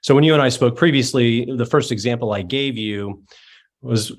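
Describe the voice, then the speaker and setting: A man who is just over an illness, speaking as a guest expert and giving a measured, explanatory interview answer. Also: medium pitch.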